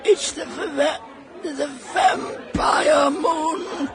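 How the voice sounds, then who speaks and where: A man's voice: extremely high pitch, fairly even intonation, very slow, music in the background, crowd noise, exaggerated voice. A man playing a delirious, poisoned man in a radio drama, straining and gasping out broken words. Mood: desperate, dying.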